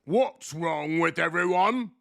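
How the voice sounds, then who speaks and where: Excitable shark boy, bright forceful voice, slow drawn-out delivery, gravelly, friendly, children's storybook. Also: fairly high pitch, pitched above the performer's own voice, exaggerated voice. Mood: puzzled. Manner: loud drawn-out exclaiming. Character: young male shark, boisterous, over-excited, friendly schoolkid. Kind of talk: children's storybook read aloud.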